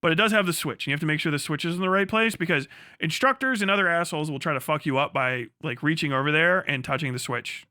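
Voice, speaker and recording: A man livestreaming in a casual, crude, profanity-laced storytelling style as he explains things in an animated way. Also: fairly high pitch, fast talker, dry recording, studio quality. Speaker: livestream host.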